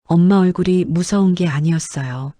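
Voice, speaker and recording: A woman's voice: lowish voice, normal speed, dark tone, no room sound, lightly acted. A woman storyteller voicing a remorseful grown son confessing in a subdued, hesitant way in a narrated story. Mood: remorseful, ashamed.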